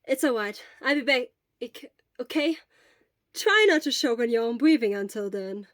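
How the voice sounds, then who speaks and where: A woman voicing a loud, eager, caring and energetic fifteen-year-old schoolboy. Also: a little high, normal speed, dry recording, studio quality. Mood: concerned, eager.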